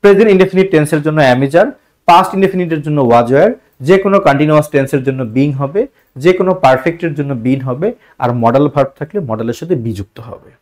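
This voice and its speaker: Higher-pitched Bangladeshi man teaching. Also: fast, darkish tone. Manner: repetitive, step-by-step explaining with rhetorical questions. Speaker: English grammar teacher. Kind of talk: instructional lesson for exam students.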